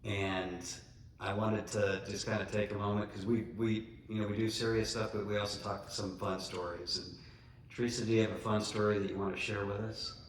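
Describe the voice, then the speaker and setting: Low-voiced man hosting in an echoey room. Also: level tone, brisk. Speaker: podcast host. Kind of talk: casual interview chat. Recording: roomy echo with faint noise.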